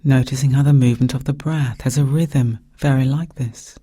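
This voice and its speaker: A man with a soft, breathy voice guiding a meditation in calm, gentle, measured phrases with pauses. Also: mid-range voice, dry recording. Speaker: meditation guide. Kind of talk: guided meditation narration.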